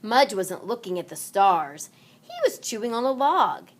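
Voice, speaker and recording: A woman narrating a children's read-along story aloud in an expressive, deliberate manner. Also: mid-range voice. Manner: deliberate, animated delivery for children.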